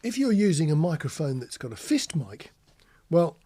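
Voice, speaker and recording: British man teaching, high-pitched and husky. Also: big pitch swings, normal speed, dark tone. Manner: explanatory, anecdotal, plain-spoken. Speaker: marine radio instructor. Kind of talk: informal spoken tutorial with practical demonstrations.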